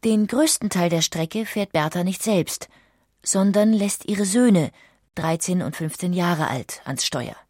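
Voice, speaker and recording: A woman narrates a documentary script with a fairly low voice. The sound is very dry, and she tells the story in a measured way. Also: a little mellow, slightly rough, dry recording.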